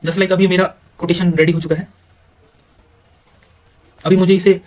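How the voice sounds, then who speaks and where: An Indian man giving an informal software walkthrough, halting at times and mixing English terms into his speech. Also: high-pitched, level tone. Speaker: software demonstrator. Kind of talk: informal screen-recorded tutorial walkthrough.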